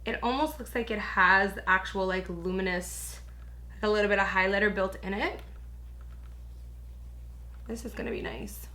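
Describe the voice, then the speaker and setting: A young woman with a bright, forward tone roughened by hoarseness talks casually through a beauty tutorial. She gushes and chats freely, filling her sentences with little asides to her viewers. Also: medium pitch, fairly even intonation, moderate pace, pauses often. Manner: gushing, chatty, lots of "like" and "you guys". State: raspy, hoarse voice. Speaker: beauty vlogger. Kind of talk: casual makeup tutorial chat.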